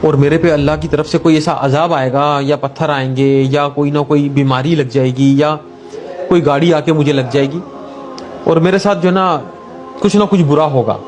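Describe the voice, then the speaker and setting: A man's voice: on the high side, fairly even intonation, normal speed, darkish tone, faint music behind, natural voice. A man voicing an anxious, self-justifying village imam in a moral tale, reasoning in colloquial, fretful talk.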